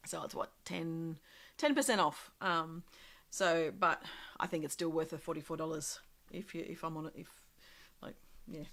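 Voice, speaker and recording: A woman with a slightly croaky voice from a cold, casually demonstrating a craft project live and thinking aloud as she works. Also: low-pitched, moderate pace, a bit bright. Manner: chatty, thinking aloud with many ums and small laughs.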